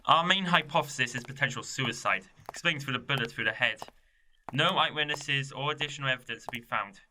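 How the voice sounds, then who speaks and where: Sharp-edged sarcastic police sergeant acted by a man. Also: medium pitch, fairly quick. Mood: sardonic, impatient. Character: gruff, sarcastic, touchy male police sergeant. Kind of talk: amateur student radio play acting. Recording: faintly noisy amateur recording with clicks.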